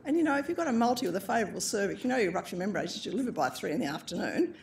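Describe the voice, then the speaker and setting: A woman chairing a hospital panel discussion, quick and plain-spoken. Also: medium pitch, lively intonation, a little mellow, slight background noise. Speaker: panel moderator, obstetrician.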